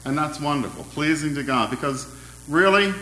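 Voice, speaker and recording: A man preaching an interactive sermon, asking his congregation questions in a loud, friendly voice. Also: a little high, lively intonation, normal speed, echoey room, rough recording. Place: church hall.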